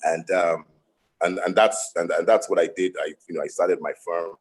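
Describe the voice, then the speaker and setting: A man's voice: low-pitched, fairly even intonation, moderate pace, husky. Reflective Nigerian man on a panel. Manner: reflective, anecdotal, with frequent restarts. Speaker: lawyer and panel guest. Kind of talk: panel discussion.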